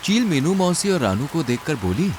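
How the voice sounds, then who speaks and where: A male narrator tells a children's story in a very animated, slightly high voice. It is scripted storytelling, with faint noise behind it. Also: moderate pace.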